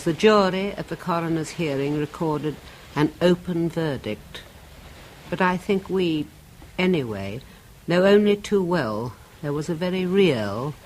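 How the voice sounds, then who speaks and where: Grieving widow, earnest, restrained, expressive pitch, faint hiss. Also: female voice, poor audio. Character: grieving woman, the dead man's widow. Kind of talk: 1970s radio drama acting.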